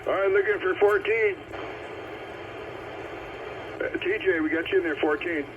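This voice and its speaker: A man's voice: high voice, moderate pace. Tinny CB radio man running roll call. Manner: repetitive, procedural calling out of numbers. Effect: CB radio transmission. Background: radio static and noise.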